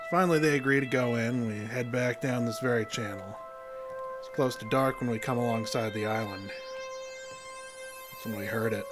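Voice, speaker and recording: A man's voice: mid-range voice, moderate pace, long pauses, music in the background, close to an everyday voice. A man playing a guarded, plain-spoken rural fishing guide in a radio drama, talking in a folksy way. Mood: somber storytelling. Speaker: actor in an audio drama. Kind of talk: naturalistic radio drama dialogue.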